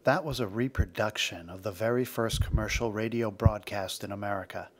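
Low-voiced man hosting a history podcast. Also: a little fast. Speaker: podcast host. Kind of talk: scripted history narration. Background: background music.

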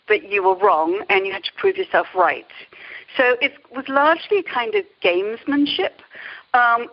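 A woman's voice: mid-range voice, dull audio. A middle-aged woman telling stories as an interview guest, articulate and wry. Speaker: guest, creativity coach. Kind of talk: conversational storytelling in an interview.

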